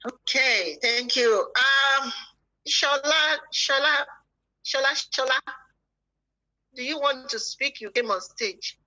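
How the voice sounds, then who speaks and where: A woman moderating an online discussion, speaking briskly and courteously as she calls on speakers. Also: high-pitched, sharp tone. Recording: muffled lo-fi audio.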